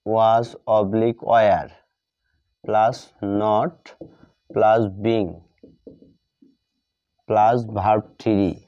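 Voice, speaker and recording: A man's voice: fairly low pitch, fairly even intonation, extremely slow, long pauses, clean tone, dry recording. A man with a Bengali accent teaches grammar, repeating phrases and spelling words out as he writes examples. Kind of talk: classroom grammar lesson, writing out examples while explaining. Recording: dull lo-fi recording.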